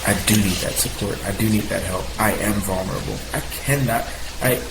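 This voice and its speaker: A man, a podcast guest, talking openly and passionately, his voice rising higher and louder as he gets animated and talks with his hands. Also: a little fast. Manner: talks with hands, bouncing while speaking. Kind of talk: candid conversational sharing. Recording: roomy sound with clear background noise.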